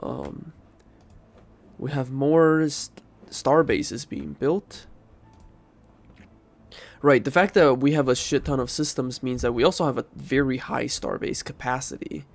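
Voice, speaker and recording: A man's voice: mid-range voice, measured pace, gravelly, long pauses. A man with a stuffy nose from a cold, giving casual commentary while playing a strategy game and thinking aloud as he goes. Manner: thinking aloud, rambling, self-correcting. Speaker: game streamer.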